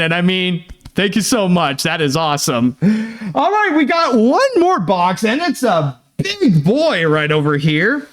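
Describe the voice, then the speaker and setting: A young Canadian man chats his way through opening packages from fans, his high voice leaping up and down. He takes his time, full of fillers, restarts and sudden bursts of excitement. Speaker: channel host opening fan mail. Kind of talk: casual unboxing chat.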